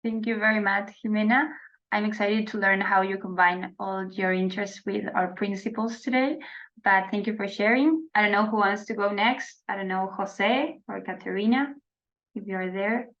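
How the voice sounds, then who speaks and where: A woman from Argentina moderating an online youth dialogue as a peace educator, speaking formally with frequent pauses and restarts over a video call. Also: mid-range voice, a bit bright. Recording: slightly dull video call audio.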